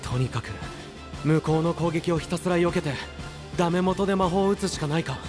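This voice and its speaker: A man's voice: fairly high pitch, fairly quick, music in the background, close to an everyday voice. A man playing a caring, sensible young companion in a Japanese anime-style voice drama, youthful and earnest. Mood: weary, resolute.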